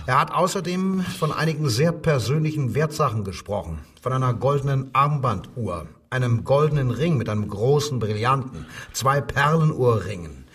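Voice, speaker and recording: A man playing a measured, insistent Nazi-era police inspector who coaxes and probes with authority in a radio crime drama. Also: mid-range voice, lively intonation, husky, distant mic. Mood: probing. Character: controlled, probing male Nazi-era Berlin police inspector. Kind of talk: German radio drama dialogue, interrogation.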